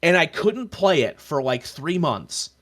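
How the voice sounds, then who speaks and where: Animated, higher-pitched man chatting. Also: unhurried. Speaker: podcast co-host. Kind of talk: casual discussion of tabletop roleplaying.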